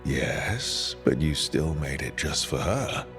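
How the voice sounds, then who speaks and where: An actor playing an easygoing young rebel hero in a fantasy game, speaking in a casual, reassuring way. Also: male voice, rumbling low, animated, takes it slowly, over music, exaggerated voice. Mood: teasing. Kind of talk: game dialogue acting.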